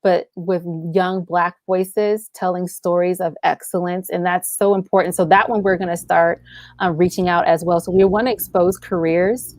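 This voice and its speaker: A woman's voice: fairly low pitch, steady tone, normal speed, a little mellow, no room sound. Enthusiastic woman explaining, dry close sound. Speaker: guest, audio drama writer and producer. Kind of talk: casual conversational interview, explaining her work.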